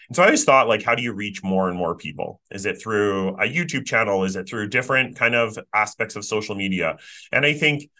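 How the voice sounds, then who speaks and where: A man's voice: fairly low pitch, pushed and bright. A man co-hosting a career podcast, upbeat and self-deprecating, who repeats words as he thinks aloud. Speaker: podcast co-host and career coach. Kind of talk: conversational podcast talk.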